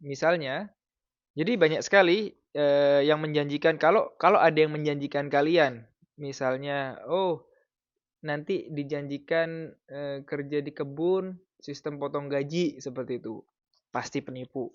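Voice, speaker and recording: A man in his thirties from Indonesia, giving casual advice in a vlog in a relaxed, explanatory way with frequent fillers. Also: on the high side, measured pace, dry recording, muffled recording. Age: 30s. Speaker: vlogger.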